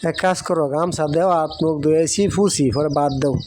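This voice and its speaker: A man acts out an anxious father from a Bangladeshi village in an amateur cartoon. His voice sits a little high and his talk is urgent, with a rural accent. There is clear noise on the recording. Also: steady tone, a little fast, lightly acted. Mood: instructing. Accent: rural Bangladeshi Bengali.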